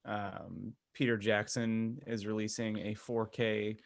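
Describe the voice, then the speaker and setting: A man's voice: on the low side, little pitch movement, slow, gravelly. A man co-hosting a podcast, chatting casually and telling stories in a jokey way with laughter in his talk. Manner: jokey, laughs while talking. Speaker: podcast co-host. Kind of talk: casual group chat, retelling exchanges.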